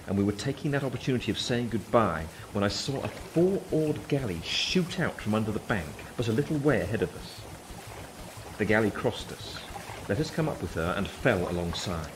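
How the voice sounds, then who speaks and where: An actor playing an earnest Victorian gentleman who narrates his own past in literary, measured storytelling for a radio play. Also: lowish voice, background noise, close to an everyday voice. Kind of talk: first-person dramatic narration, radio play. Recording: clear ambient sound effects behind voice.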